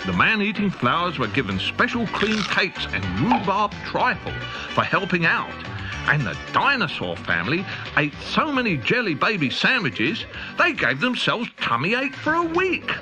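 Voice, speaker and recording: A man narrating a children's storybook in a playful, animated way. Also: sits high, normal speed. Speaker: audiobook narrator. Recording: dull cassette tape with music.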